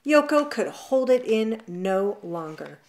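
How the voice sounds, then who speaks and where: A woman reading a children's picture book aloud at home, in an expressive, drawn-out storybook manner. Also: fairly high pitch. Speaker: storytime reader. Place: indoors at home, seated on a leather couch.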